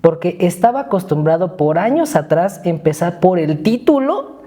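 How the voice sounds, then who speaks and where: A man's voice: high voice, sing-song, normal speed, mellow. A man in his thirties with a Mexican accent, co-hosting a podcast in a chatty, joking way and reading out his own essay. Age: 30s. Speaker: podcast co-host. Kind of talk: casual podcast chat.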